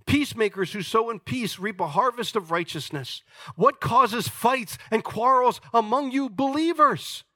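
A man in his sixties preaching a sermon as pastor, emphatic and jokey, swinging between loud points and quiet asides. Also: very high voice, a little slow, dry recording, clean studio sound. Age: 60s.

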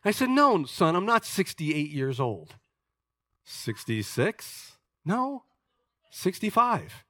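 Pastor, man, 60s; high, bright voice, dry recording, emphatic and humorous sermon delivery. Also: very animated, takes it slowly, dry recording. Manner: emphatic, jokey preaching with wide swings in loudness.

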